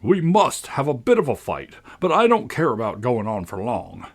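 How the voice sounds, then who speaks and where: A man's voice: medium pitch, huge pitch swings, normal speed, husky, pitched above the performer's own voice, full character voice. A man voicing a childish, quarrelsome, blustering twin from a storybook, with a contemptuous manner. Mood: self-important.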